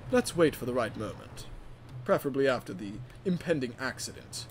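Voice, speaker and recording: A man's voice: mid-range voice, sing-song, a little fast, a little hiss or hum. A man voicing a scheming, patient villain diesel engine in a comic game roleplay. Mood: brooding.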